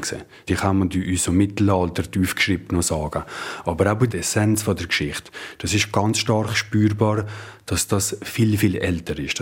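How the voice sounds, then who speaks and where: A man's voice: lowish voice, a little mellow, husky. A man, explaining informally as an interviewed musician.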